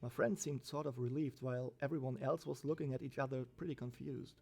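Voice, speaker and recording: A man's voice: mid-range voice, steady tone, brisk, creaky, a little mellow. A man reading a scripted first-person horror story as its narrator. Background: background music.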